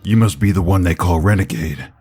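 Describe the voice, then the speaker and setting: Man acting weary soldier, very deep voice, stoic, measured, fantasy drama, faint music behind. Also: darkish tone, breathy edge. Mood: grave, stoic. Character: grave, weary veteran soldier, a wandering fighter.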